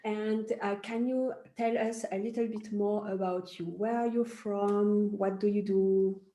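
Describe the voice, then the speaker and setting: A woman's voice: mid-range voice, fairly even intonation, measured pace, mellow. Woman hosting, in a roomy echo. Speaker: host, parenting coach. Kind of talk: live online interview, conversational. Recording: roomy, echoey live call.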